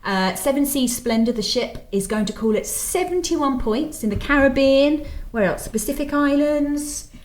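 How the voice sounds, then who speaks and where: A woman's voice: high voice, expressive, moderate pace, a little mellow. Chatty Scottish woman hosting in a roomy space. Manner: chatty, teasing, leads the questions. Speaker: podcast host, editor. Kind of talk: casual podcast chat. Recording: roomy sound with faint ambience.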